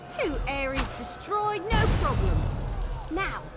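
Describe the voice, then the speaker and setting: High-voiced, cocky elf archer. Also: female voice, very animated, takes it slowly, over loud music, heavily acted. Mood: sarcastic, confident. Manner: sardonic, breezy, complaining. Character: cocky, sarcastic young female wood elf archer. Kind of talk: scripted video game dialogue.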